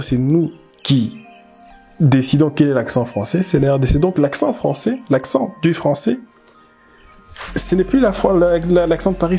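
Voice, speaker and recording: A man from the DR Congo, a language teacher, giving animated, emphatic commentary and repeating words as he thinks aloud. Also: fairly high pitch, slightly rough. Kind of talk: casual commentary video.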